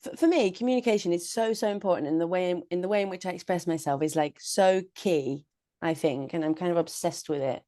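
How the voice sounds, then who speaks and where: Low-voiced British woman chatting. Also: a little fast, dark tone, dry recording, clean studio sound. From United Kingdom. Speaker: podcast co-host. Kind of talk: casual chat.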